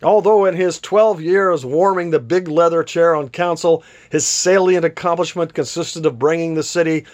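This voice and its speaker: Guy reading his novel out loud, voice a bit on the high side, kinda theatrical. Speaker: author reading aloud from a novel. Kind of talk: literary read-aloud, scripted narration.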